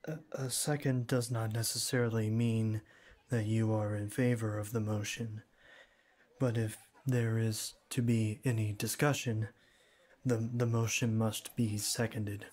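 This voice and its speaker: A man playing a shy young classmate in an ASMR roleplay, speaking formally and stiffly with hesitant stammers and a cool, deadpan manner. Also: on the low side, measured pace. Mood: awkward, nervous. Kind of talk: ASMR roleplay, scripted one-sided dialogue.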